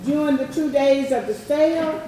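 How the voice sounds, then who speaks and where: A woman delivering scripted historical narration in a grave, deliberate manner. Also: high-pitched, over a noisy crowd. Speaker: readers theatre narrator. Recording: very echoey, distant mic, faint noise.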